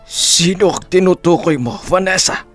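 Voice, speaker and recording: Casting: actor for powerful elderly don, somewhat high male register, intense melodramatic patriarch. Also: moderate pace, fairly bright tone, faint music behind. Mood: alarmed, puzzled. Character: stern, powerful, protective old billionaire father. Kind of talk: Filipino audio drama acting.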